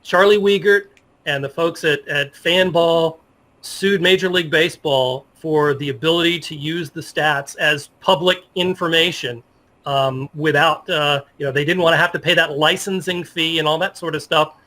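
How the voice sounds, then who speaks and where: A middle-aged man speaking as an interview guest in a casual, halting, self-effacing way about sports. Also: a little high, fairly even intonation, bright, forceful tone.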